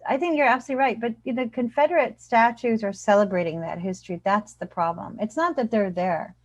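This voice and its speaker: A woman's voice: on the high side, a little fast, no room sound. A woman professor, a guest on an interview over a video call, explaining her views earnestly and often saying "you know". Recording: dry, dull video-call audio.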